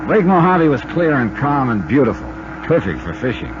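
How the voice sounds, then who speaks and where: A man's voice: medium pitch, very animated, moderate pace, close to an everyday voice. An actor playing a level-headed male investigator in a 1950s radio detective drama, clipped and matter-of-fact. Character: brisk, level-headed male investigator narrating his case. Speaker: detective lead and narrator. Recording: noisy, muffled old radio recording.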